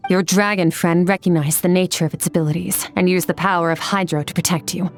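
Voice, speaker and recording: An actress plays a cool, otherworldly swordswoman from a video game. Her voice is on the low side, and she speaks in a detached, condescending, matter-of-fact way over faint music. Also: steady tone, fast, natural voice. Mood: detached, grave. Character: aloof, battle-hardened otherworldly swordswoman, cool and blunt.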